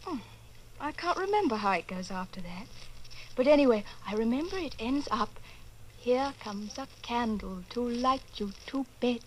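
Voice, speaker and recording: An actress playing a tender, defiant young lover in an old-time radio drama. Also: female voice, a little high, lively intonation, normal speed, slight background noise, natural voice, rough recording. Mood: curious, playful.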